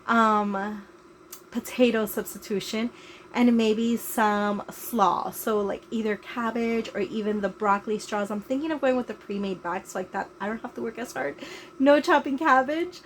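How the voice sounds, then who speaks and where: Congested woman vlogger, chatty and loose, slightly high voice, faint hum behind. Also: moderate pace. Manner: chatty, with many "like" and "um" fillers. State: stuffy with a head cold. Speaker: RV travel vlogger. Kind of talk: casual cooking vlog. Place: small RV kitchen.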